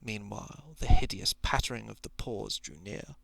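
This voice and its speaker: A man reading a story in a hushed, deliberate ASMR narration style, often slipping toward a whisper, close to the microphone. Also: fairly low pitch, sing-song, no room sound. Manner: hushed, breathy whispering at times, deliberate literary phrasing. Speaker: storyteller reading a short thriller.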